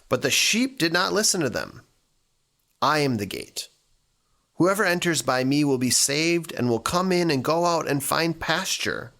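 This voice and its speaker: A man reading scripture and reflecting on it as a church devotional, speaking earnestly in deliberate, short phrases. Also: a little high, fairly bright tone. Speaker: church staff member giving a devotional.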